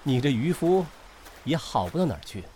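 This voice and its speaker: A man's voice: medium pitch, big pitch swings, fairly quick, warm tone, slight background noise, close to an everyday voice. A man acting a carefree, teasing, centuries-old ghost with a youthful air and haughty archaic speech in a period audio drama.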